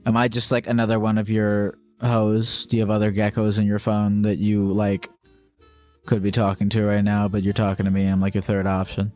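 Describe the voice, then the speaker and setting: A man hosting a call-in show, teasing his caller with profane, quick-fire banter. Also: on the low side, monotone, a little mellow, faint music behind. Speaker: host.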